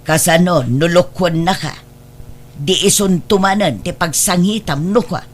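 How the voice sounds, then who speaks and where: A woman's voice: fairly low pitch, unhurried, lightly acted. A woman acts as an older mother in a radio drama, protective, suspicious and full of worry. She admonishes in a motherly way. There is a faint hum behind the voice. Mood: admonishing.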